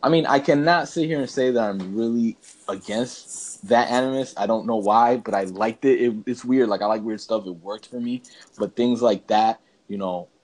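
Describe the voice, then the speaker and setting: A man's voice: medium pitch, normal speed, a little mellow. A man co-hosting a podcast, chatting casually and giving opinions in an informal, rambling, slangy way. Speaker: podcast co-host. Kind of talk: casual opinionated chat about games and films.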